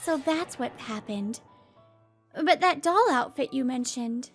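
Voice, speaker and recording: A woman's voice: high-pitched, animated, slow pace, soft background music, heavily acted. A woman voicing a poised, protective professional woman in a comic dub, speaking with composed assertiveness. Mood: calm.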